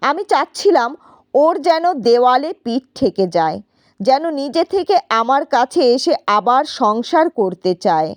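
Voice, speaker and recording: A woman's voice: high voice, sing-song, a little slow, no room sound, clean studio sound. A woman narrating a scripted first-person story in a dramatic, reflective manner.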